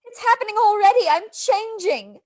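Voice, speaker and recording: A woman acting a frightened young wife under a vampire's curse in a radio play. Her extremely high voice is tremulous, pleading and melodramatic. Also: animated, normal speed, a little mellow.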